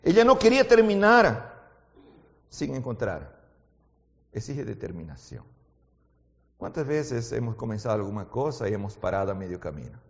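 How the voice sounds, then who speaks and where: A man preaching a sermon in a language that is not his first one, as his native Portuguese slips in. He shifts between quiet, intimate talk and loud, fervent exhortation, and keeps asking his listeners questions. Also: mid-range voice, big pitch swings, moderate pace, long pauses, long reverb tail, dull audio. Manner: asks the audience frequent questions.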